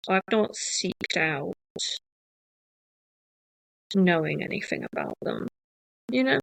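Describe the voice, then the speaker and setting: A woman hosting a podcast, talking in a rambling, hesitant way with many fillers and restarts, and deliberately speaking a little slower than usual. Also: lowish voice, animated, creaky, no room sound, low-quality recording. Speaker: podcast host. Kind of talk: casual rambling monologue.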